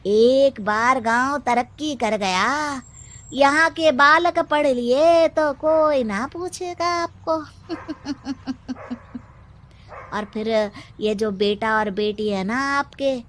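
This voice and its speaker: Cunning male cartoon adviser, voiced by a woman; slightly high, unhurried, conniving delivery. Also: a bit bright, exaggerated voice. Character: cunning, scheming male adviser to a village headman. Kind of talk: Hindi cartoon character acting.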